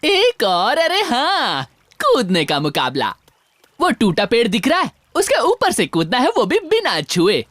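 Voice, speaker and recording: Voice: medium pitch, wildly swooping pitch, a little slow, a bit bright, dry recording. An actor voicing a sly, scheming male animal villain in a cartoon, mocking and boastful in a theatrical way. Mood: taunting. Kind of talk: Hindi cartoon dub acting.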